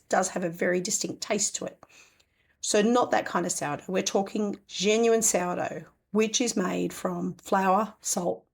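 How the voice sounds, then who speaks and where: An Australian woman hosting a homesteading podcast, hoarse and croaky after being ill, talking in a chatty, rambling way that is full of self-corrections. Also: medium pitch, darkish tone. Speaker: podcast host. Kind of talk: casual podcast monologue.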